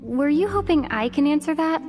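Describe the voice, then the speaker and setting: A young female traveler from a video game, gentle and composed. The voice is high and sounds earnest and kind. Music plays quietly underneath. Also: animated, moderate pace.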